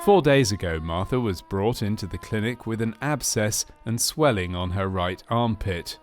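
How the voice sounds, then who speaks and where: A man reading scripted documentary narration for a medical training film in a measured, factual manner. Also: lowish voice, expressive. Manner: measured, factual delivery of clinical case history.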